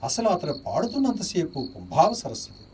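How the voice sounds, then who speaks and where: A man's voice: high voice, wildly swooping pitch, a little fast, darkish tone. A man playing a refined, dreamy, music-loving nobleman in a poetic radio drama. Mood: rapturous. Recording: distant radio drama with faint night noise.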